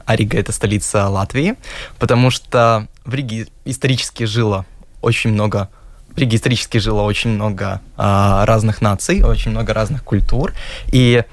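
A teenage schoolboy from Riga, a Russian native speaker, answering interview questions confidently with some halting starts. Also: male voice, fairly low pitch, fairly even intonation, no room sound. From Riga, Latvia. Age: teens. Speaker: guest, schoolboy.

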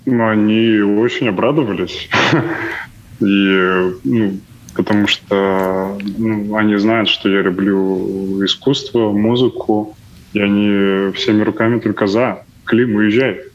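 A man's voice: lowish voice, fairly even intonation, takes it slowly. A young man speaking casually as an interview guest, in slightly muffled sound. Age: young adult. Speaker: interview guest, drama student. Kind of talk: casual talk.

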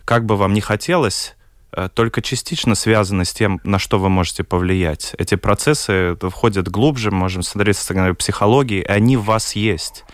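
A middle-aged man from Latvia, a film director speaking as a guest in a panel discussion, pausing often with fillers as he searches for words. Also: lowish voice, no room sound.

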